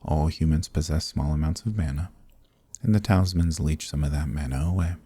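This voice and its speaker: A man voicing an ancient archdemon who is smug, amused and condescending, in a breathy, murmured dark fantasy ASMR roleplay with teasing, leisurely politeness. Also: extremely low pitch, brisk, no room sound. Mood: smug, calm.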